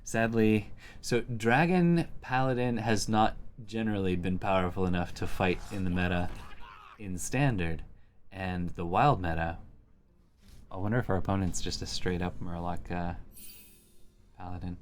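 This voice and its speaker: A man's voice: low voice, moderate pace, slight background noise. A man giving casual gameplay commentary, thinking aloud as he plays. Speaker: host playing a card game.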